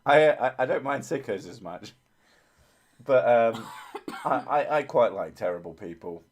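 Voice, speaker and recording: A man's voice: mid-range voice, animated, measured pace, slight background noise. A man hosting a livestream in casual chat, rambling and self-mocking, with a voice others call soothing. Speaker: livestream host.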